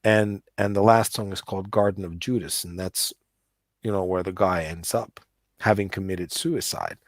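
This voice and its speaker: Middle-aged guy with a lowish voice, talks in loops, lots of restarts, dry close sound. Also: fairly even intonation, normal speed, no room sound. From United States. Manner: rambling, many restarts and repeated words. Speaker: musician guest. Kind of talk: casual interview chat.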